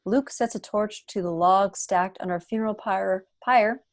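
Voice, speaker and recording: A woman's voice: mid-range voice, normal speed, no echo, studio quality. A woman narrating the stage directions of a screenplay at a table read.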